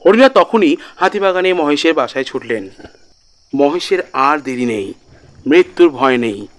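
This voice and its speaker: A man's voice: fairly high pitch, expressive, moderate pace. A man narrating a scripted literary audio story as the storyteller. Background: background music.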